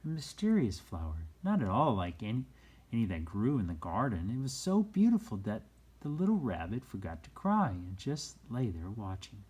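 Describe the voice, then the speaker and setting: A storyteller reading aloud for children in a soft-edged, husky voice. The pitch moves in a lively way, dropping into hushed moments and rising for dramatic emphasis. The tone stays mellow. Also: moderate pace. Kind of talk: expressive read-aloud storytelling.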